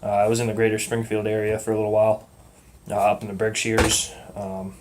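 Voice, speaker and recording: A young man with a muddled Massachusetts accent, talking in a calm, rhythmic way and rambling casually with lots of ums and uhs. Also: fairly low pitch, brisk, noisy background. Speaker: vlogger. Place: at work.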